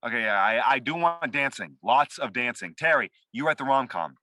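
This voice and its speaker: A man's voice: medium pitch, lively intonation, fairly quick, pushed and bright, no echo. A man acting a frantic, self-absorbed and muddled boss who rambles and repeats himself in a comedy table read. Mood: frantic, bossy. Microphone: video call. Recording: dry close video-call audio.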